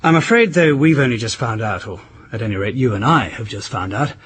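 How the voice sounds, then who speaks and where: An actor playing an urbane 1960s British husband in a radio drama, clipped, understated and dryly ironic. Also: male voice, mid-range voice, animated, a little fast, fairly bright tone, husky. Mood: wry, grave. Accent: British English.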